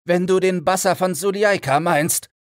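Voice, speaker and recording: Man acting haughty pasha, high proud voice. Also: moderate pace, no room sound, clean studio sound, lightly acted. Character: proud, defiant male pasha held prisoner.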